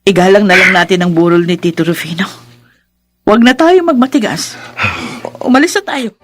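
A woman's voice: medium pitch, moderate pace, lightly acted. A woman playing an emotional, conciliatory middle-aged mother in a Filipino radio drama, earnest and pleading, with a Filipino accent.

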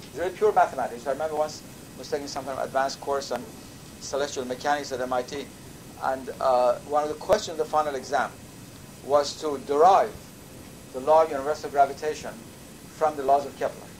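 An older man, a native Persian speaker from Iran, giving a measured university lecture with thoughtful pauses. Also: mid-range voice, steady tone, low-quality recording. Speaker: professor. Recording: lecture recording with audible background noise.

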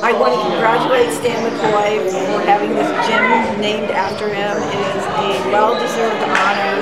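A middle-aged woman, a teacher, giving an earnest, steady tribute. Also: medium pitch, normal speed, roomy sound. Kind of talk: prepared tribute. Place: school gym hallway, indoors. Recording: noisy gym with loud crowd. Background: crowd noise and bouncing basketballs.

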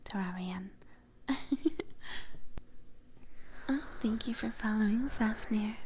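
A woman whose first language is Hungarian, whispering softly to her viewers in an ASMR chat stream on a binaural mic, halting now and then with fillers. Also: medium pitch, a little hiss or hum. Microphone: binaural microphone.

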